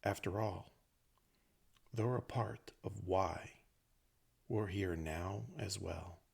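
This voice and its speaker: Very slow, deep, gravelly man guiding meditation. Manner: gentle, unhurried phrases with long pauses. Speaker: meditation teacher. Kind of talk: guided meditation.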